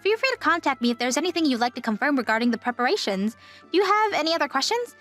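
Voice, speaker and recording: A woman performs a young travel agent in a manga-style drama. She sounds kind and professional, courteous and composed with a gentle firmness. Soft music plays underneath. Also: sits high, very animated, quick pace. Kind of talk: anime-style manga dub acting.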